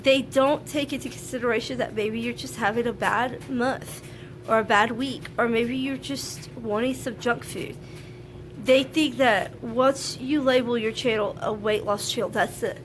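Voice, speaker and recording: A frank, chatty woman with a slightly high voice, nasal and stuffed up from a sinus infection. She talks to camera at home, with a faint hum of household noise behind her. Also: steady tone, measured pace. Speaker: vlogger. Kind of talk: casual talk to camera. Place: at home, laundry running.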